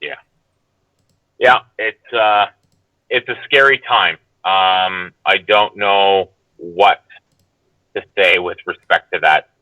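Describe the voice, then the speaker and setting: A man giving casual, unscripted commentary as a guest, halting and hesitant, often qualifying what he says. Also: sits low, dry recording, highs cut off.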